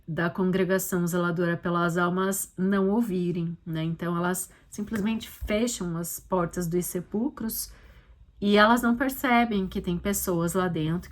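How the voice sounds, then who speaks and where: Conversational Brazilian woman explaining her writing. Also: medium pitch. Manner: conversational, explanatory, with frequent check-in tags. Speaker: author and podcast host. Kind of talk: casual reflective commentary on her own short story.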